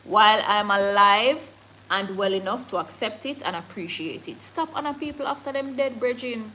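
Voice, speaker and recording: A woman's voice: mid-range voice, moderate pace, slight background noise, poor audio. Emphatic Jamaican woman livestreaming. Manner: animated, emphatic, laughs often, mixes patois. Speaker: livestream news host. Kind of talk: casual livestream chat reading comments and news.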